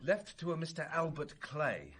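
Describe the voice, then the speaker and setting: An actor playing a formal, reserved British police inspector in a vintage radio drama, speaking in a measured, matter-of-fact way. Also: male voice, on the high side, big pitch swings, fairly bright tone, husky, exaggerated voice. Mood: measured, grave.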